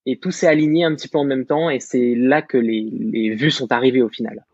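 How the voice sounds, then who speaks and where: A young man from France in his twenties, a guest content creator talking through his story with animated self-assurance. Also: mid-range voice, fairly quick, slightly rough, no room sound. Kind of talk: casual podcast discussion. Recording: slightly muffled dry recording.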